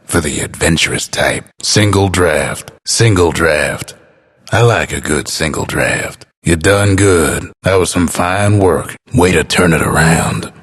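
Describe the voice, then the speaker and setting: Very deep, husky folksy narrator. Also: male voice, a bit bright, full character voice. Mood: dry, musing, then understated approval. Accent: American. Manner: folksy, unhurried, understated. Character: laconic folksy drawling male narrator, wry and world-weary. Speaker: game announcer. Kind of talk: scripted game announcer lines.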